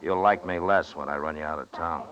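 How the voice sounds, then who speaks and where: A man's voice: low-pitched, fairly even intonation, fairly quick, a bit bright, slight background noise. An American actor playing a stern, dry-witted frontier lawman in a 1950s radio western. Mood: firm, warning. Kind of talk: 1950s radio drama acting. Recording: dull old radio recording, crowd murmur.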